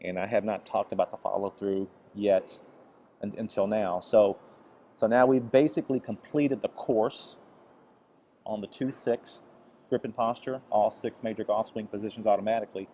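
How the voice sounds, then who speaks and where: Mellow-voiced man teaching golf, rambling. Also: fairly low pitch, fairly even intonation, normal speed, slight background noise. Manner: repetitive, stumbling restarts, frequent "okay". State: recovering from flu, voice deeper than usual. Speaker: golf instructor. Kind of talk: casual video lesson over swing footage.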